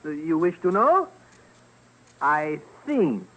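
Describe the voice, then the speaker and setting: An actor playing an earnest, lofty scientist in a radio drama, halting and pedantic. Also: male voice, fairly high pitch, very animated, dull audio, music in the background. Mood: earnest, hesitant.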